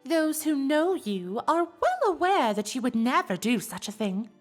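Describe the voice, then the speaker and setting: A woman's voice: sits high, very animated, soft background music. A woman voicing an elegant, teasing cartoon unicorn socialite in a fan fiction audio drama, with an affected, genteel, gossipy delivery. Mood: coy gossiping. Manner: affected, genteel, theatrical delivery with drawn-out words.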